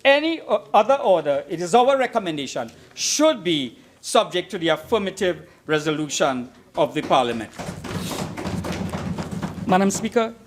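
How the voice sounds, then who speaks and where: A man speaking as a member of parliament in a formal debate, making his points emphatically and deliberately and repeating phrases for weight. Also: high-pitched, big pitch swings, slight background noise. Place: parliament chamber.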